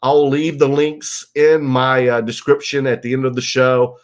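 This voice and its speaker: A man's voice: mid-range voice, level tone, a little slow. Congested man hosting a casual livestream. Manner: rambling, loose, full of ums and you-knows. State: stuffed-up nose and congested from illness. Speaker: livestream host. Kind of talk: casual live-stream chat reading viewer comments. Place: guest bedroom at home.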